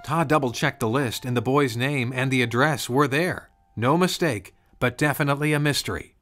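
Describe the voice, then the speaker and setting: A man's voice: mid-range voice, moderate pace, a bit bright, soft background music. A man narrating a scripted storybook tale as a storyteller.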